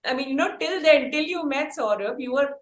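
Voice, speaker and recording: A woman's voice: high-pitched, expressive, brisk. A woman with an Indian accent hosting a casual podcast interview, talkative, with long rambling questions. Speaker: podcast host interviewing a band. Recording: roomy, echoey sound.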